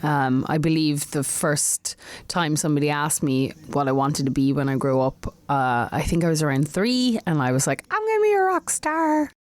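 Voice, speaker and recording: A woman's voice: low voice, lively intonation, normal speed, no echo. An Irish woman, a singer-songwriter, talking casually as an interview guest, chatty with frequent laughs and restarts. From Ireland.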